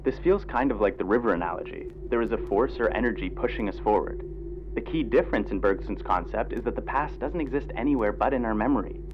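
Muffled, low-voiced man lecturing over noise. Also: fast. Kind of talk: scripted explanatory narration of philosophy. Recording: muffled lo-fi audio, humming background noise.

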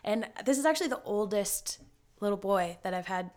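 A woman joining casual comic banter as a podcast guest, with brief, playful, deadpan remarks. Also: medium pitch, a little fast.

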